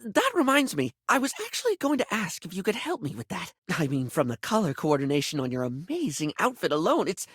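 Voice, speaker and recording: A man voicing a flamboyant, scatterbrained makeup character in a video game, effusive and camp, with halting, self-deprecating, apologetic delivery. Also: high-pitched, wildly swooping pitch, a bit bright, husky, no echo, studio quality, heavily acted. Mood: chatty, playful. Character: flamboyant, scatterbrained, apologetic male makeup object, gushing and insecure.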